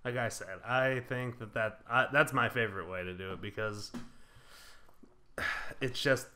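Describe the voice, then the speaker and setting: Male co-host, mid-pitched with a bright, forward edge. Casual chat. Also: a little slow, slight background noise. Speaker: podcast co-host.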